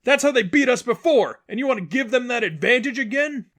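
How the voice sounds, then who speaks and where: Man putting on a shouting, high young sidekick. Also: lively intonation, brisk, heavily acted. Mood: incredulous, shouting. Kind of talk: audiobook-style character acting.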